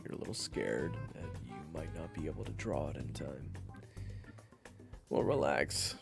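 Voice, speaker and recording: A man's voice: rumbling low, expressive, normal speed, creaky, over music, voice pushed down, heavily acted. A man playing an abrasive, impatient monster hunter in an audio roleplay, blunt and sarcastic with a grudging protective streak. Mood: teasing, gruff. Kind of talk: audio roleplay acting addressed to the listener.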